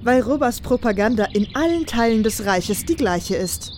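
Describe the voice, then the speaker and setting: Eine Frau spielt in einem Fantasy-Hörspiel eine junge Abenteurerin mit trockenem, neckendem Ton. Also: female voice, on the high side, lively intonation, normal speed, darkish tone, a little hiss or hum, natural voice. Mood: wry, amused. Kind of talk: fantasy radio play acting.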